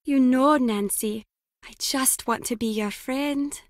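A woman's voice: sits high, takes it slowly, no echo, clean studio sound. A woman voicing a chatty, cheeky young woman in a video game, playful and teasing, keen to be friends. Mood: nosy, coaxing.

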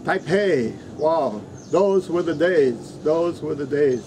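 A man's voice: fairly high pitch, expressive, slow. A middle-aged man from Africa, a non-native English speaker, talking casually to camera as a teacher and repeating words for emphasis. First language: not English, his third language. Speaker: English teacher and trainer, vlogger. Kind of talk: casual vlog talk to camera. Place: open-sided resto-inn in the Philippines. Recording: noisy open-air ambience behind voice.

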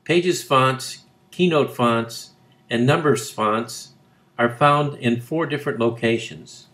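A man narrating scripted instructions as a tutorial, reading in measured phrases. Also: mid-range voice, a bit bright.

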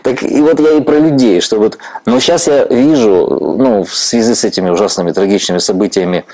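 Candid low-voiced interviewer from Ukraine. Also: male voice, fairly quick, darkish tone, low-quality recording. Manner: candid, reflective, laughs easily. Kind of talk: conversational interview. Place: church stage, indoors.

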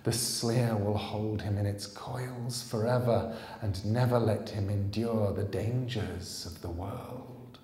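Ancient coiled guardian creature, acted by a male reader: drawn-out, solemn, devoted, echoing. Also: lowish voice, fairly even intonation, mellow. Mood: solemn triumph. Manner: slow, sighing, solemn. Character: huge, ancient serpent-like guardian spirit, longing and devoted. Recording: roomy, echoing live hall sound.

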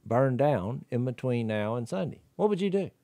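A man, co-hosting a podcast, talking in an animated, riled-up way on a studio mic. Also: medium pitch, moderate pace, dry recording. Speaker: podcast co-host. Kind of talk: casual religious discussion. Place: wood-paneled studio room. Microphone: studio mic with headphones.

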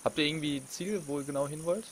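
A man's voice: a little high, rapid-fire, background noise. A man with a slight cold hosting a let's play, chatting casually with friends and laughing often. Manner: laid-back, laughs often, jokey sound effects. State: has a cold. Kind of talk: casual gaming chat with friends.

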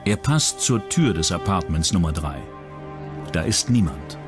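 A man's voice: low-pitched, big pitch swings, unhurried. A man reading scripted true-crime documentary narration as the narrator. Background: background music.

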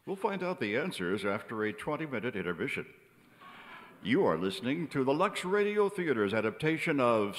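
An older man serves as announcer with dramatic, old-time radio presentation. His pitch moves widely, and his voice rings out in a reverberant theater. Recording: live theater stage, long hall reverb.

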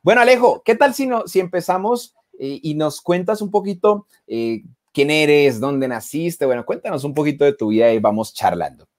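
A young man hosting a live video call interview, enthusiastic and greeting his viewers, talking through earphones from a room with bookshelves. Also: moderate pace. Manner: enthusiastic, warm greetings to viewers, lively pitch swings. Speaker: host and mentor. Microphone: earphone headset on video call.

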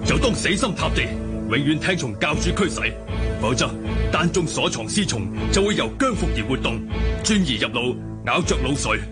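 An actor with a Hong Kong accent playing an obedient, fervently loyal cult elder in a radio drama, declaiming with deference. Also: male voice, on the high side, sing-song, moderate pace, loud music behind the voice. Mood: solemn, deferential. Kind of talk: Cantonese radio drama acting.

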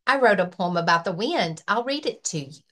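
A woman voicing a gentle young boy pig who writes poems, speaking in an earnest, soft-spoken way. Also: mid-range voice, lively intonation, a little fast. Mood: hopeful.

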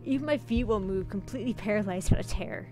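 A woman game streamer reads horror narration aloud in a mid-pitched voice. Her delivery is dramatic, with small stumbles, and game music plays clearly behind her. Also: quick pace. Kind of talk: reading a horror visual novel aloud.

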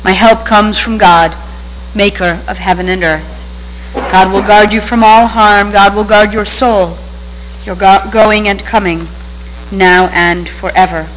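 An older woman officiant giving a steady, solemn reading of psalms and scripture at a lectern in a synagogue sanctuary. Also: medium pitch, unhurried. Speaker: service officiant. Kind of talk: liturgical reading. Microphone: lectern microphone. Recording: reverberant hall with faint hum.

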